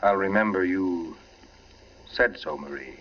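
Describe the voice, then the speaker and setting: An actor playing a cautious, loyal friend, hesitant and concerned, in 1940s radio drama style. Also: male voice, low voice, a little slow, soft background music. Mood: awkward, tender. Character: cautious, loyal friend and husband drawn into intrigue. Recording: muffled 1940s radio broadcast recording.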